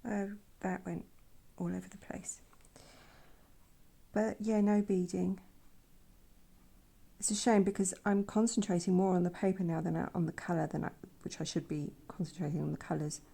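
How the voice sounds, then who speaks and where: A woman with a croaky voice from a sore throat, softly talking through a painting tutorial in quiet, half-whispered asides. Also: fairly low pitch, level tone, normal speed. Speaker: art channel host. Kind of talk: soft-spoken painting tutorial.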